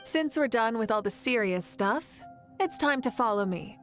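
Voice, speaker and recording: A woman's voice: fairly high pitch, animated, moderate pace, fairly bright tone, faint music behind. A woman playing a composed, confident warrior leader in a video game. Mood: playful, assured. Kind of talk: video game character acting.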